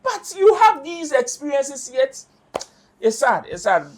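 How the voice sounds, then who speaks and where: Passionate high-voiced Ghanaian man. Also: huge pitch swings, a little slow. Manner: passionate, emphatic, repeats rhetorical questions. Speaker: entertainment show panelist. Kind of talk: animated studio discussion.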